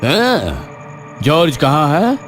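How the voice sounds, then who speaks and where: A man playing a bossy, mocking gang leader in an animated horror story. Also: fairly high pitch, takes it slowly, soft background music, exaggerated voice. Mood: scornful.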